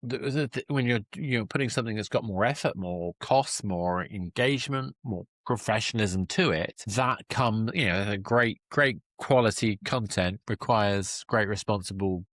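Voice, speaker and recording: A man with a slightly low voice hosts a relaxed podcast conversation. He talks reflectively and haltingly, reworking his phrases as he thinks aloud. The recording is dry, with hardly any room sound. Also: no room sound. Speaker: podcast host. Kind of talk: casual interview chat.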